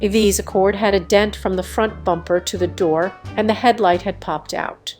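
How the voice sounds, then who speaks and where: A woman's voice: medium pitch, fairly even intonation, normal speed, a little mellow. Woman narrating stories over background music. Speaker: narrator reading online stories. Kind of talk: scripted story narration.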